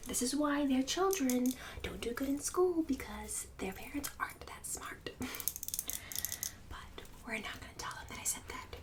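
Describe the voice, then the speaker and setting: A woman's voice: high voice, lively intonation, unhurried, far from the mic, voice pushed up. A woman playing a pushy, boastful suburban mother in an ASMR roleplay, condescending and fake-sweet, often dropping into a whisper. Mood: annoyed, contemptuous. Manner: condescending, fake-sweet, snide, passive-aggressive.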